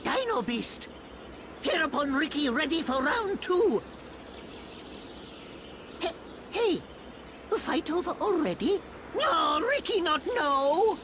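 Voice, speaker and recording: A woman voicing a small, brave, excitable furry creature hero in a game, with childlike broken speech in the third person. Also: high voice, slow, long pauses, background noise, exaggerated voice. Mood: eager, puzzled.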